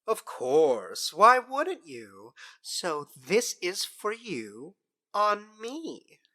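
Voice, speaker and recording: A woman's voice: lowish voice, wildly swooping pitch, very slow, bright, forceful tone, heavily acted. A woman playing a mischievous, sly fairy trickster in a modern audio drama, teasing and theatrical, savouring words with smug unrepentance. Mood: coaxing, sly.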